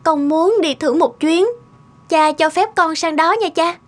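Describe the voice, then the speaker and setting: A woman's voice: very high voice, steady tone, unhurried. A woman voicing a poor, earnest, polite young village girl in a cartoon folk tale.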